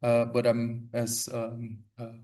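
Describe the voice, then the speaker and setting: A man with a Brazilian accent presents his research in a lecture theatre. His delivery stays flat and even in pitch, relaxed and full of fillers and small restarts. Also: takes it slowly. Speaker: research fellow presenting a webinar talk. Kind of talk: academic presentation.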